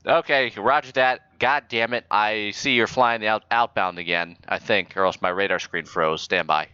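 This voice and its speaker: A man speaking as a mock-official air traffic controller and announcer, improvising in a deadpan manner. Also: on the low side, moderate pace, clean tone, no room sound, highs cut off. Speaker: flight simulator player acting as air traffic controller and airshow announcer. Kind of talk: improvised radio talk and mock commentary.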